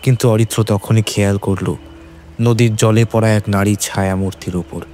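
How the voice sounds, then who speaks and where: A man's voice: lowish voice, steady tone, moderate pace, a little mellow, slight background noise. A man narrating a scripted horror story in a dramatic storytelling style. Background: background music.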